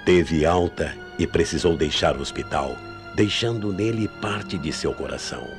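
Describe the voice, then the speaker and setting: A man's voice: low voice, moderate pace, music in the background. A man with a Brazilian accent giving solemn, suspenseful scripted narration for radio mystery tales.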